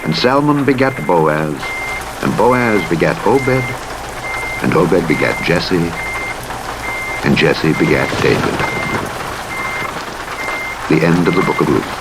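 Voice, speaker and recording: A deep-voiced man reads scripture with solemn, measured storytelling delivery. His intonation rises and falls widely, giving the text drama. A noisy rumble of vehicle and rain-like game sound runs behind him. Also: animated. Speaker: audiobook narrator. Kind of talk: scripted dramatic Bible reading. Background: truck simulator game driving noise.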